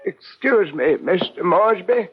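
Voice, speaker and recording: An actor playing a deferential, fussy butler in a radio drama, formal and polite. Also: male voice, high voice, expressive, unhurried, music in the background. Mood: deferential, worried. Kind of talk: 1970s radio drama acting. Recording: muffled 1970s radio recording.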